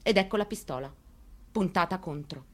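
A woman's voice: on the low side, moderate pace, lightly acted. A woman performing a dramatic monologue reading as a haunted, plainspoken mother recounting her story. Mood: tense recounting. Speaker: actress in a staged reading.

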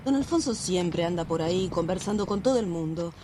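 An actress playing a caring sister, a nurse, who tries to calm her agitated brother in a radio drama. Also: fairly low pitch, fairly quick, a little hiss or hum, lightly acted. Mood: gentle. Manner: soothing, then alarmed.

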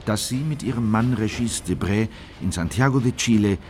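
Radio drama part for an actor: Bolivian man recalling secret work, deep, earnest, faint ambience behind. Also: normal speed, a little mellow, slight background noise, lightly acted. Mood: earnest, measured. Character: Bolivian man recounting a clandestine political operation. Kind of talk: scripted radio drama narration.

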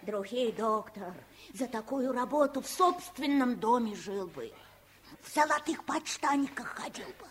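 An actress playing a bossy, devoted old nanny who scolds in folksy dialect in a radio play. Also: female voice, on the high side, animated, unhurried, fairly bright tone. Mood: grumbling. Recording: vintage studio radio drama.

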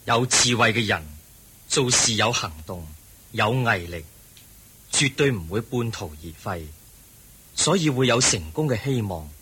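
A man voicing a solemn, wise teacher in a Hong Kong Cantonese radio drama, husky and measured, delivering moral lessons. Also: medium pitch, lively intonation, pushed and bright, full character voice. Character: solemn Buddha preaching wisdom and perseverance.

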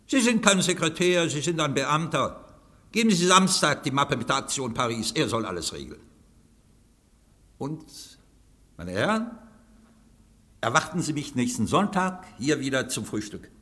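A man's voice: fairly high pitch, very animated, normal speed, long pauses, pushed and bright. An actor playing a commanding, sardonic president of anarchists in a radio play, theatrical and biting in his delivery. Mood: scornful. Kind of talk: German radio play acting. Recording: long hall-like reverb.

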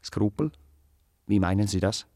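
An actor playing a pedantic, self-important musician in a radio play, wordy and hesitant, setting things out with clinical precision. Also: male voice, on the low side, lively intonation, measured pace, vocal fry, dark tone, dry recording, clean studio sound. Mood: self-justifying. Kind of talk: naturalistic radio play dialogue.

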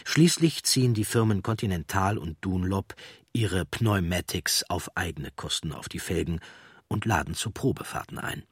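A man reading scripted documentary narration with measured, storytelling delivery and a husky voice. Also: deep voice, expressive, no echo, clean studio sound.

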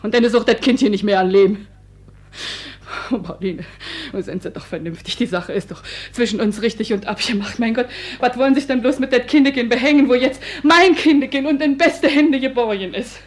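An actress playing a domineering, anxious working-class wife in a stage drama, with colloquial speech that swings between wheedling and threats. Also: female voice, a little high, a little fast, natural voice. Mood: menacing, then placating. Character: domineering, anxious working-class married woman, coaxing yet menacing. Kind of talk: theatrical naturalist stage drama acting.

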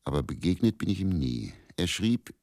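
Worn brooding faith healer, super deep creaky voice, musing radio monologue, bone dry sound. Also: male voice, very animated, a little mellow, no room sound. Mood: musing, haunted. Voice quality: very deep, gravelly. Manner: slow incantation of names, musing, measured. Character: brooding, self-absorbed travelling faith healer, a worn middle-aged man. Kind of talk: radio drama monologue, incantatory storytelling.

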